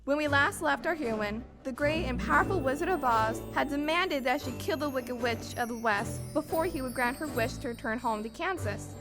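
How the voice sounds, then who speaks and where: High-voiced young female student host. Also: moderate pace, few pauses. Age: child or teen student. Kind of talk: scripted show introduction. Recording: loud music under the voice.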